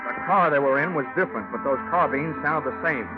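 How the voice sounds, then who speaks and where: An actor playing a wisecracking American insurance investigator, sardonic and clipped, in 1940s radio drama style. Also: male voice, fairly high pitch, brisk, loud music behind the voice, lightly acted. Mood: wry narrating. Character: wisecracking, hard-boiled male insurance investigator. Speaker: lead actor and narrator in a radio detective serial. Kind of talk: 1940s American radio drama acting, hard-boiled narration. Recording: muffled, narrow-band old radio recording.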